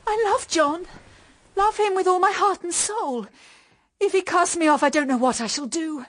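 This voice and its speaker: Very high-pitched desperate British wife. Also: female voice, moderate pace. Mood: desperate pleading. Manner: urgent, pleading. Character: desperate, pleading unfaithful wife, manipulative older sister. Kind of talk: radio drama acting.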